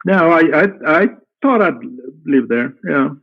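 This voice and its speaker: A man's voice: on the high side, expressive, gravelly, dry recording. Middle-aged Swedish man on a tinny line. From Sweden. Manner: unhurried, halting with many restarts and fillers. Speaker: guest, company founder. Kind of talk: casual interview answers. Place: home room at night. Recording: tinny phone-like call line.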